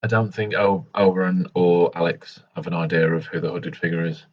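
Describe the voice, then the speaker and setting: Deep, husky man in improvised roleplay. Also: steady tone, fairly quick. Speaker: tabletop roleplay player. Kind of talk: improvised in-character dialogue. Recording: dull, lo-fi recording.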